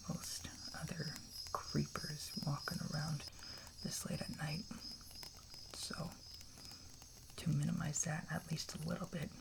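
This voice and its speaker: An ASMR roleplayer playing a kind, reassuring camper, whispering in a hushed, soothing way and gently checking in. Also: medium pitch, creaky, low-quality recording. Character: kind, reassuring camper looking after a stranger.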